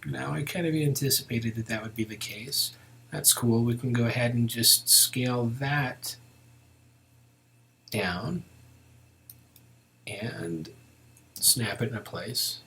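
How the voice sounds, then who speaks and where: A man giving an informal step-by-step software tutorial, thinking aloud as he works. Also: mid-range voice, slow pace, vocal fry, pauses often, a little hiss or hum.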